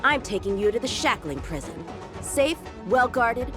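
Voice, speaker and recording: A woman's voice: a little high, very animated, normal speed. Cocky young boy swordsman, music behind. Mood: smug, accusing. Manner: formal, confident, precise. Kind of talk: video game voice acting.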